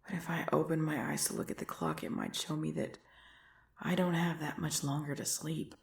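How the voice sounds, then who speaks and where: A woman playing an affectionate, sleepy girlfriend in an ASMR roleplay, whispering and murmuring softly with a breathy voice. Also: low-pitched, level tone, moderate pace, full character voice. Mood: drowsy.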